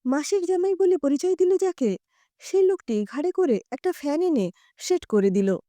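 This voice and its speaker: Lively high-voiced woman storyteller. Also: moderate pace, no echo, clean studio sound. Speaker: audio story narrator. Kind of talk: expressive first-person story narration.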